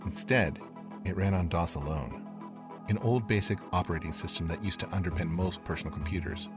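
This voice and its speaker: Brooding male investigator played by an actor, low-pitched, measured and confiding horror-podcast narration, clear music underneath. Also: natural voice. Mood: measured, intrigued. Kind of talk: scripted first-person horror podcast narration.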